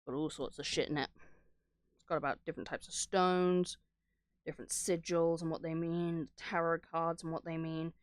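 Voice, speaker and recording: A woman's voice: low-pitched, level tone, normal speed, no room sound, clean studio sound. A woman streamer chatting casually and rambling through stories in a sweary, irreverent way. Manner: acts out dialogue when retelling stories. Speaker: streamer reacting to horror videos. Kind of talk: casual rambling chat, storytelling.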